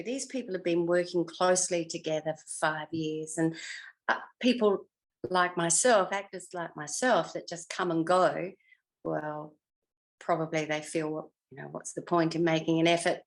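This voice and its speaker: A woman's voice: fairly low pitch, normal speed. An older woman with an Australian accent reminiscing in a casual interview, hesitant as she searches her memory. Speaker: interviewed former actor.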